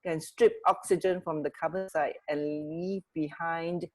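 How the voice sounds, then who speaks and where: A woman's voice: sits low, fairly even intonation, normal speed, darkish tone. A woman co-hosting a radio show, chatting casually and sharing bits of trivia.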